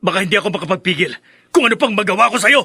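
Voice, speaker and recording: An actor playing an angry, bitter young adult son in a melodramatic Filipino radio drama, with a confrontational delivery and a Filipino accent. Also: male voice, high-pitched, animated, racing, sharp tone, breathy edge, no echo, clean studio sound, full character voice. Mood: furious, threatening.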